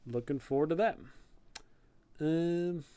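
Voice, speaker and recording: A man's voice: a little high, takes it slowly, mellow, clean tone, dry recording. A man hosting a livestream, thinking aloud in short, trailing phrases as he works and chats casually. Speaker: livestream host, tabletop game master and content creator.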